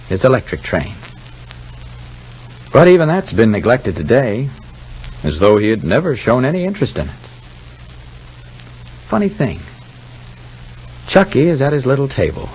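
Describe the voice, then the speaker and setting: A man narrating and announcing in 1950s radio soap style, with deliberate, dramatic, emphatic phrasing. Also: fairly low pitch, husky, muffled recording.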